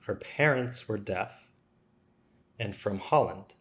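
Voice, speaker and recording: A man voicing interview answers for a signing guest, in a measured, unhurried delivery. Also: lowish voice.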